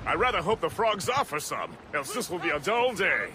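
A roguish colonial first mate in a game cutscene. His voice is fairly high and swings widely up and down, glib and theatrical. Music sits clearly behind him. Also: sing-song, brisk, crowd noise. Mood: rousing. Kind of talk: video game cutscene acting.

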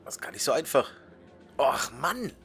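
A man's voice: on the high side, sing-song, moderate pace, fairly bright tone. A man casually commenting on his gameplay, joking and muttering asides, sometimes dropping to a whisper while he reads. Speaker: let's play host.

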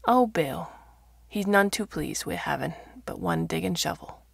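Storybook role: blunt rural farmer played by a woman, gravelly, rustic dialect. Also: fairly low pitch, unhurried, no room sound, full character voice. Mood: matter-of-fact. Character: gruff, blunt old Yorkshire mammoth farmer, male.

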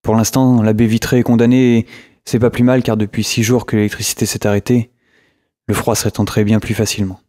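Man acting weary survivor, lowish voice, halting. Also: level tone, dark tone, no room sound, clean studio sound, lightly acted. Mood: weary, uneasy. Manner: halting, brooding, with pauses and hesitations. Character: weary, isolated male survivor holed up in a flat. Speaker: narrator and lead actor. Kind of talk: audio drama monologue as a recorded diary.

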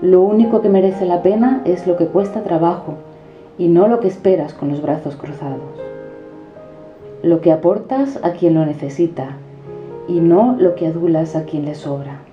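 Woman reciting poetry slow and solemn, roomy echo, soft music behind. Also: fairly low pitch. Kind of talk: declaimed spoken-word poetry. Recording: dull reverberant recording over music. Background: background music.

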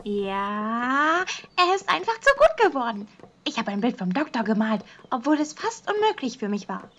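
A woman's voice: on the high side, big pitch swings, moderate pace, muffled recording. A woman voicing a childlike, carefree, curious teenage girl in a German fan-dubbed anime audio drama.

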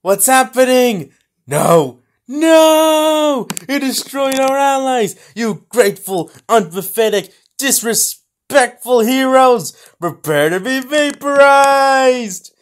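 A man's voice: very high voice, lively intonation, exaggerated voice. A man voicing a gloating, hot-tempered cartoon supervillain inventor, melodramatic and taunting in amateur voicelines. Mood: commanding, furious. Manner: melodramatic, taunting, drawn-out exclamations.

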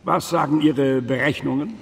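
A man playing a grave, assured gentleman who leads a secret order in a video game, formal, deliberate and authoritative. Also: a little high, creaky, slight background noise. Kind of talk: dubbed video game cutscene dialogue. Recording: long reverberant hall.

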